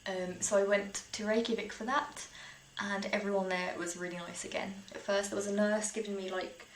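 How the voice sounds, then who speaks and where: A young woman with a British accent chats informally, like a vlogger sharing personal experiences. Her pitch sits in the middle of the female range. The room sounds echoey, with faint noise behind her. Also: little pitch movement, moderate pace. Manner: chatty, informal, with small laughs through sentences. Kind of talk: casual personal storytelling to camera. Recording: roomy echo, faint background noise.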